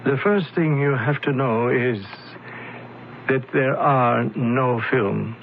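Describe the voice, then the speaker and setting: A composed company man who soothes an upset visitor while giving nothing away, speaking in measured phrases with a gravelly texture. The sound is thin and phone-like, an old radio broadcast with light hiss. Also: mid-range voice. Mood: soothing. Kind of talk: 1970s radio drama acting.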